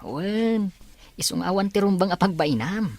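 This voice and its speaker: A woman playing a kind, encouraging young male student in a radio drama, speaking gently and reassuringly. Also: mid-range voice, lively intonation, unhurried. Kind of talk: Ilocano radio drama acting. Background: faint background music.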